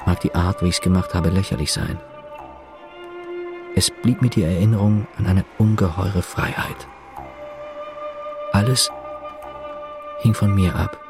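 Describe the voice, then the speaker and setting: Radio drama prisoner: low register, introspective and bitter, volatile temper, denies his identity. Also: fairly even intonation, music in the background. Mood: brooding, confessional. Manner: measured, reflective narration breaking into furious shouting. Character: prisoner denying his identity, brooding, bitter, given to outbursts. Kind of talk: German radio play, first-person narration and acted dialogue.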